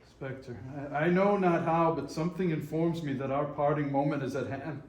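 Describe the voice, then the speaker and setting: A man's voice: fairly high pitch, moderate pace, darkish tone, natural voice. A man playing a frightened, repentant old miser in a theatrical readers theater performance, earnest and pleading. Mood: grave, fearful. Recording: echoey room.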